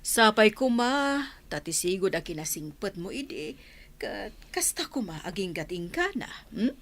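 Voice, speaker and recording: A woman's voice: medium pitch, big pitch swings, a little slow, creaky, full character voice. An actress playing a persuasive, doting middle-aged mother in a radio drama. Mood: gently instructing. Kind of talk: Ilocano radio drama acting.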